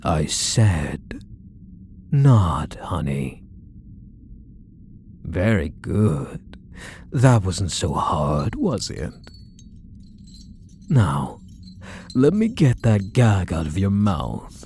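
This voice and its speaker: A man playing a possessive, controlling kidnapper husband in an ASMR roleplay, deliberate and menacing, with condescending endearments. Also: deep voice, huge pitch swings, darkish tone, a little hiss or hum, full character voice. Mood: controlled, threatening. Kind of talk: scripted ASMR roleplay, addressing the listener.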